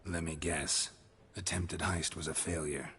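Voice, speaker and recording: An actor with an American accent playing a gruff, stoic monster hunter in a video game, terse and deadpan. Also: male voice, sits low, unhurried, heavily acted. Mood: dry, probing. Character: gruff, stoic monster hunter, grown man, dryly unflappable.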